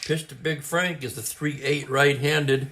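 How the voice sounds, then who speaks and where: A man calling a tabletop baseball replay as play-by-play, with brisk calls and stat asides, and a voice that is getting hoarse. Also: mid-range voice, fairly even intonation, pushed and bright. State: losing his voice, clearing his throat. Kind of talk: play-by-play commentary.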